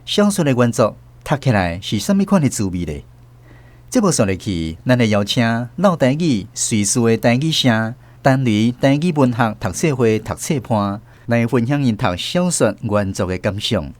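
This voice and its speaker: A man's voice: medium pitch, lively intonation, moderate pace, darkish tone. A man with a Taiwanese Hokkien accent, hosting a radio drama program and giving a formal scripted introduction. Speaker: host and narrator.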